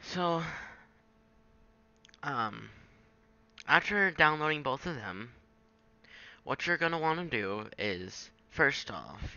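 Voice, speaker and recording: A man giving an informal, halting software tutorial, thinking aloud as he goes. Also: on the low side, gravelly, muffled recording. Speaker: tutorial presenter. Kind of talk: casual screen-capture software tutorial.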